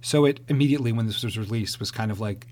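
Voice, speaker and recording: A man's voice: fairly low pitch, fast, darkish tone, a little hiss or hum. A man co-hosting a podcast, chatting playfully while tasting wine and explaining things at length. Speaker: podcast co-host. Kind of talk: casual chat, wine tasting.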